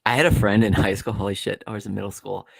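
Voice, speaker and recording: A man in his late thirties chatting casually on a livestream, telling rapid, profane stories. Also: on the low side, fairly even intonation, gravelly. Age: 30s. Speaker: livestream guest. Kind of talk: casual chat.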